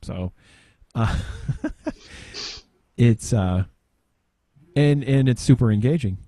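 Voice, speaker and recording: A middle-aged man in relaxed podcast conversation, with an ordinary mid-range pitch and a very dry sound. He explains in a rambling way, stopping and restarting as he feels for the next phrase. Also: dry recording. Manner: rambling, halting explanations with trailing pauses. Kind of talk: casual podcast chat.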